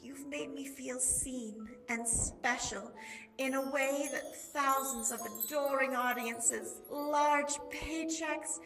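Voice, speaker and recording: A woman's voice: high-pitched, fairly even intonation, slow, a bit bright, distant mic, faint music behind, heavily acted. A woman playing a lovelorn vaudeville starlet, earnest and melodramatic, in an improvised radio soap opera. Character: lovelorn vaudeville starlet reading her dying love letter.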